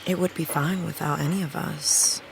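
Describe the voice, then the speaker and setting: A woman's voice: sits low, steady tone, normal speed, creaky, background noise. A woman playing a wry, candid actress and supportive friend in an audio drama, with dry, teasing, naturalistic delivery. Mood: frank, sardonic.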